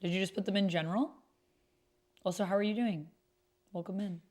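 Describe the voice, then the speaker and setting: A woman's voice: medium pitch, fairly even intonation, fairly quick, darkish tone. A woman streamer chatting casually with viewers, murmuring bits aloud as she types at a keyboard. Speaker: streamer hosting an actual play. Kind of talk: casual livestream chat.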